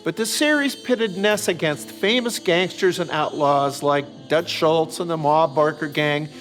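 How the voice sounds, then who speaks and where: An older American man giving reflective, explanatory commentary as an author and host, measured and articulate, with parenthetical asides. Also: a little high, fairly bright tone, faint music behind. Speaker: author and series host discussing his novels. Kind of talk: reflective explanatory commentary, semi-scripted narration.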